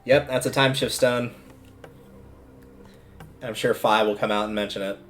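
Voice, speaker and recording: A man's voice: mid-range voice, fairly even intonation, unhurried, fairly bright tone, slight background noise. A man giving casual livestream commentary while playing a video game, rambling a bit as he thinks aloud. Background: video game music.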